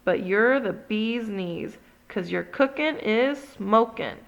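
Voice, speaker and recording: A woman's voice: medium pitch, expressive, takes it slowly, gravelly, muffled recording. A woman voicing a boastful little boy kitten who thinks he is a chihuahua, in a bouncy, proud manner.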